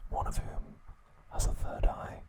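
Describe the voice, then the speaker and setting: Slow whispering male ASMR host. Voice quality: breathy, unvoiced whisper. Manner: hushed, soothing, unhurried. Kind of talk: whispered ASMR narration of anime trivia.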